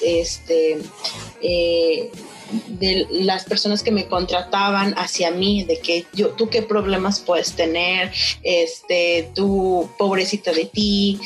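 A woman's voice: medium pitch, poor audio. A young Mexican woman with a Mexican Spanish accent, telling her personal story as an interview guest in an earnest, reflective way, with frequent hesitations. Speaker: guest, founder of a social initiative.

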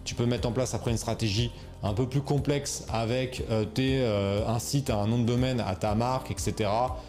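A man's voice: medium pitch, steady tone, normal speed, darkish tone, faint music behind. A man coaching viewers on selling online, talking casually with slang, fillers and restarts. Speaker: e-commerce coach. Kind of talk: casual explainer video.

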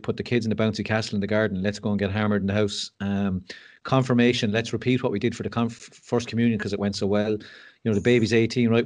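Frank Irish man, low voice. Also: a little mellow. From Ireland. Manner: frank, self-deprecating, rambling with many fillers and restarts. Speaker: podcast guest. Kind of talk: candid conversational storytelling.

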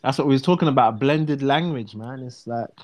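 A man's voice: mid-range voice, brisk, a little mellow. A man co-hosting a live stream, chatty and laid-back, using street slang and laughing often. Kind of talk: casual live chat.

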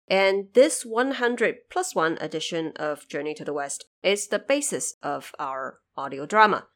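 Lower-pitched woman explaining as podcast host. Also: a bit bright. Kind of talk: scripted explanatory narration for a podcast.

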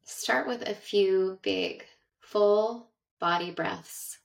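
A woman guiding a meditation with gentle, measured instructions and long pauses, speaking in narration style. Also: medium pitch, gravelly. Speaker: meditation guide. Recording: roomy echo, distant from mic.

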